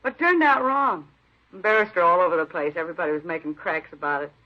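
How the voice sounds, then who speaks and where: An actress in a 1950s radio crime drama playing a gossipy office secretary, chatty with afterthoughts, on a dull vintage recording. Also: female voice, on the low side, fast talker, natural voice. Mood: gossipy, amused.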